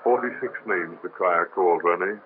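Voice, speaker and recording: Cast: man as a grave common man, lowish voice, earnest, old radio drama. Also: moderate pace, lightly acted. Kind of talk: 1930s radio drama acting. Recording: tinny old radio with hiss.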